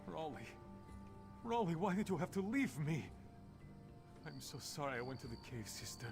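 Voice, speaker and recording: An actor playing a creaky-voiced, grieving brother full of guilt, in video game dialogue. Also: male voice, on the high side, very animated, normal speed. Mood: grieving, guilty. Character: grieving brother apologising to his lost sister. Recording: quiet voice under game music.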